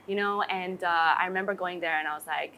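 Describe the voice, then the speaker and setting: A young woman from Singapore in her twenties talks casually as an interview guest. Her voice sits somewhat low, and her sentences wander, full of "like" and "you know". She is recorded outdoors by a pool. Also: fairly even intonation, a little fast, bright, forceful tone, a little hiss or hum, low-quality recording. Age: 20s. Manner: chatty, says "like" and "you know" often.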